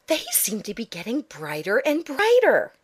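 A woman's voice: a little high, huge pitch swings, measured pace, husky, no echo, clean studio sound, exaggerated voice. A woman playing a dramatic, wide-eyed young stepsister in a fairy-tale audio drama. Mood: awed.

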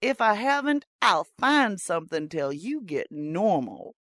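An actress playing a blunt, sarcastic, wisecracking theater maid in a radio drama. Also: female voice, mid-range voice, very animated, measured pace, a bit bright, no echo, studio quality.

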